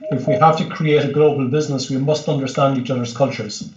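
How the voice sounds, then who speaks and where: A man politely putting questions in a webinar, with hesitant, measured phrasing. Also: medium pitch, fairly even intonation, a little mellow, a little hiss or hum. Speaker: webinar participant asking questions. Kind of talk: polite spoken question in a seminar. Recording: echoey, muffled webinar audio.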